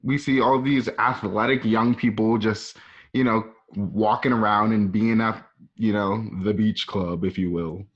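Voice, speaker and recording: A man's voice: on the low side, steady tone, measured pace, muffled recording. A man narrating scene directions informally, with casual conversational asides. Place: at home.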